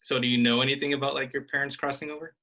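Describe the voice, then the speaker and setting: A young man asking interview questions over a video call in a relaxed, friendly way. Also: medium pitch, rapid-fire. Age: young adult. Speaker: interviewer, student.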